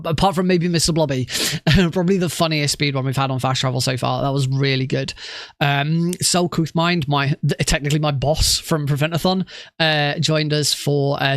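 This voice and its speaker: A man's voice: fairly high pitch, fairly bright tone, no room sound, clean studio sound. Sniffly man chatting on stream. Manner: rambling, many ums and restarts. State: sniffly with a cough. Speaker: speedrunner and streamer. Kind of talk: casual chat to stream viewers.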